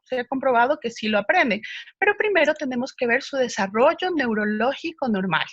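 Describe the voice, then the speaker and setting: A woman's voice: high voice, lively intonation, brisk, fairly bright tone, no room sound, highs cut off. A woman speech therapist answering viewer questions, explaining patiently with frequent hesitations.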